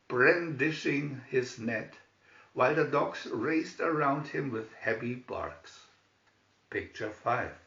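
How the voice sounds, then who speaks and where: A man reading a scripted children's story in a deliberate manner, announcing pictures as a narrator. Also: medium pitch, pushed and bright. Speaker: storybook reader. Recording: muffled, echoey room recording.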